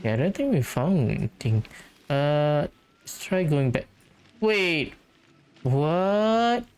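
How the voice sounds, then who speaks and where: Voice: medium pitch, lively intonation, slow pace, a little mellow, smooth. A gamer doing casual commentary on their own playthrough, tentatively muttering and thinking aloud. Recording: game music under the voice. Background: video game music.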